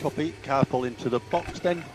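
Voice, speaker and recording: Guy race engineer on team radio, short repeated calls, loud car noise behind. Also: mid-range voice, a little fast. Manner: clipped, repeats instructions. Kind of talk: motorsport team radio instructions.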